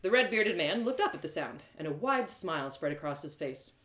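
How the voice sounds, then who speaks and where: Give me a woman storyteller reading scripted first-person narration aloud. Her delivery is expressive, with lively, wide pitch movement, and the room around her is audibly echoey. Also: a little fast. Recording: roomy, echoing live reading.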